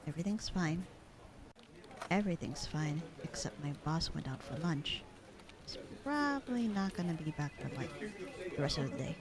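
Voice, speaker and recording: A bored secretary acted by a woman speaks in a fairly low voice over a clear murmur of people. She is deadpan and sarcastic, with a slightly flirty edge and casual, slangy phrasing. It plays as a conversational roleplay audio drama. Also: normal speed, long pauses, crowd noise. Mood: bored, indifferent. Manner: deadpan, slangy, unbothered drawl.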